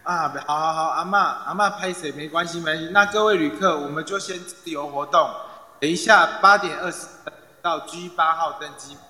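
A man's voice: fairly high pitch, fairly quick, sharp tone. A man playing a bustling, patient tour guide in a comic radio drama, recorded with echoey reverb. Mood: exasperated, placating.

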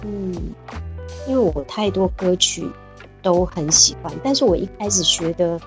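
A woman's voice: lowish voice, lively intonation, normal speed, soft background music. A woman in her fifties chatting cheerfully as an interview guest, laughing often and repeating words. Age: 50s. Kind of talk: casual interview chat.

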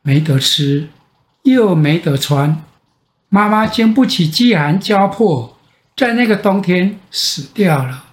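An older man with a Taiwanese Mandarin accent tells a children's bedtime story in a gentle, expressive way with drawn-out phrase endings. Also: high-pitched. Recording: echoey room sound.

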